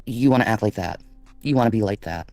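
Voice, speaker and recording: A man's voice: fairly low pitch, animated, fast talker, darkish tone, soft background music. A man delivering a personal online rant, scolding and emphatic, hammering phrases by repeating them.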